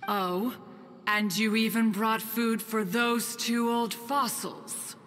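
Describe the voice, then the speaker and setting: A woman's voice: on the high side, fairly even intonation, slow pace, fairly bright tone. A woman voicing a haughty ancient crane spirit from a video game, speaking in a formal, archaic, pompous manner. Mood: approving. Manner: stately, archaic, pompous speech. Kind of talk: video game cutscene acting. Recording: long reverb, background music.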